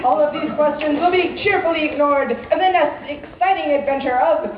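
A woman's voice: high voice, moderate pace, natural voice. A woman narrating a comedic radio serial in a dramatic scripted style. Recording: very echoey, tinny, distant, noisy.

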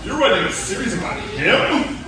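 An actor playing an excitable, pompous gentleman in comic radio theatre, effusive and melodramatic. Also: high-pitched, normal speed, over music. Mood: indignant. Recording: distant, echoey hall with clear noise.

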